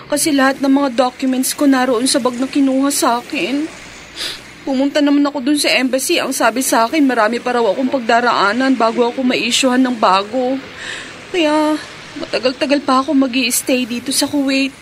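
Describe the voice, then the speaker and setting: A woman's voice: high-pitched, steady tone, fairly quick, slight background noise, lightly acted. A woman with a Filipino accent playing an earnest, hopeful migrant housemaid in a radio drama, speaking expressively and conversationally. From Philippines. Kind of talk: classic radio drama acting.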